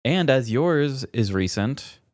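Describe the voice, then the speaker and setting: A man chats as a podcast co-host in an informal, wry way. His voice is medium-pitched and recorded very dry. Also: expressive, slow, darkish tone, no echo. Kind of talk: casual chat.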